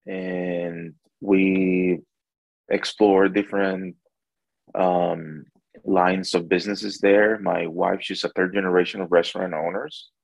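A man's voice: deep voice, level tone, takes it slowly, creaky, dry recording, low-quality recording. A man from El Salvador with a Salvadoran accent, talking conversationally as an interview guest and often repeating words as he searches for phrasing. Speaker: interview guest, restaurant owner.

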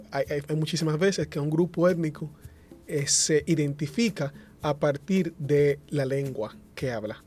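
Man, somewhat high voice, lecturing tone, panel discussion. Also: a little mellow. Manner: lecturing, with fillers and restarts. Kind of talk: explanatory academic talk.

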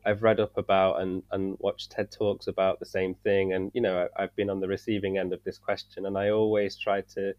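A man from the UK, a podcast guest, talking in an earnest, measured way and searching for words at times. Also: deep voice, flat delivery. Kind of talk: casual discussion. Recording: dull dry sound.

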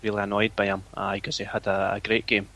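A Scottish man chatting casually on a podcast as the guest, with a flat, even way of talking that he calls boring. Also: on the low side, fast, a crowd in the background. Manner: flat, self-described boring voice. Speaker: forum owner, guest. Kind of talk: casual chat.

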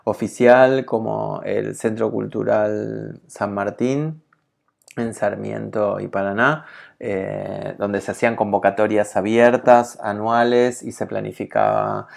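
Un conductor de radio argentino que comenta sin guion, con muchas pausas, titubeos y frases que retoma. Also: male voice, fairly low pitch, fairly even intonation, vocal fry. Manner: hesitant, many restarts and fillers. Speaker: radio host. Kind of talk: unscripted radio commentary.

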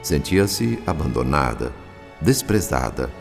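A man's voice: a bass voice, sing-song, takes it slowly, gravelly, full character voice. A man acting as the grave, moralizing narrator of a radio drama, with a Brazilian accent and literary, theatrical delivery. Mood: sorrowful, solemn. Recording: long reverb, faint music behind. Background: faint music.

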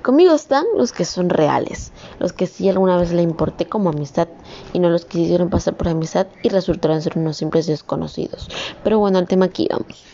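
Young woman, earnest vlogger, warm low tone, phone-recorded with faint room ambience. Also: expressive, fast, creaky, muffled recording, a little hiss or hum. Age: young adult. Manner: earnest, rambling, rising to emphatic scolding. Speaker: communications student. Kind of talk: personal vlog monologue.

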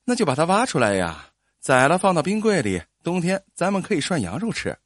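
A man's voice: a little high, huge pitch swings, brisk, a bit bright, no echo, studio quality, full character voice. A man voicing a farmer's bossy, scheming wife who speaks in a smug, pushy, scolding manner in an audio story. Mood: persuading.

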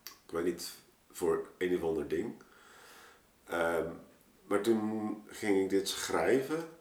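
Male guest, deep voice, Netherlands Dutch accent, informal explainer, roomy sound. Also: a little mellow, distant mic. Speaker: guest, poet and performer. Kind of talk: casual explanatory chat. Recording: echoey room, slightly off mic.

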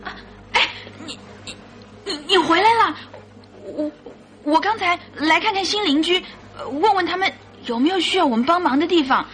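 An actress playing an anxious, secretive young wife in an old-fashioned radio drama, faltering and pleading. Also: female voice, very high-pitched, expressive, moderate pace, a bit bright, a little hiss or hum. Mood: startled, stammering. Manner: faltering, breathy pleading with stammers.

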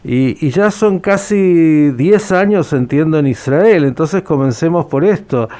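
A man hosting a podcast interview, phrasing his questions in a deliberate, formal way. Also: fairly high pitch, lively intonation, dark tone.

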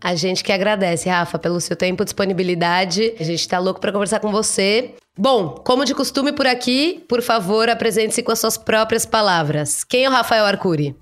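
A woman with a Brazilian accent hosts an interview podcast. Her voice sits at an average pitch for a woman. She talks in the conversational style of a presenter with a guest. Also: normal speed, hardly any pauses, faint music behind. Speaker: podcast host.

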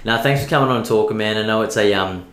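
A man's voice: fairly low pitch, fast talker, fairly bright tone, slight background noise. A man with an Australian accent hosting a casual podcast chat, laid-back and sweary. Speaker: podcast host. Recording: roomy echo.

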